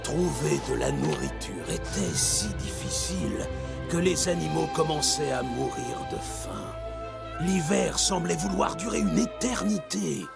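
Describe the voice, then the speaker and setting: Give the part to an old cartoon elder with a heavily creaky voice. He tells tales to children kindly and slowly, with expressive swings and music behind him. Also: a little high, exaggerated voice. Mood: storytelling. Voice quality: gravelly, creaky. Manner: slow, expressive storytelling. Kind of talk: French children's cartoon dub. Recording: music under the voice.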